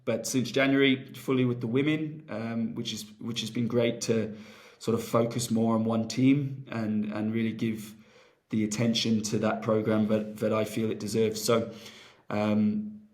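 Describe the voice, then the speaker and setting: A man, a rugby coach, answering interview questions in a relaxed, rambling way, with many fillers and restarts. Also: fairly low pitch, unhurried. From Wales.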